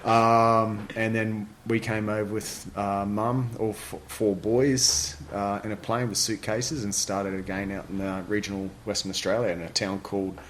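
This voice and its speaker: A man's voice: fairly low pitch, level tone, moderate pace, few pauses, a little hiss or hum, poor audio. A man in his forties from New Zealand with a light New Zealand accent, telling stories in a wry, self-deprecating way at his home. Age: 40s. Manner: wry, self-deprecating, trails off and restarts mid-sentence. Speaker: podcast guest, army veteran. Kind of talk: casual storytelling interview. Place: living room at his home.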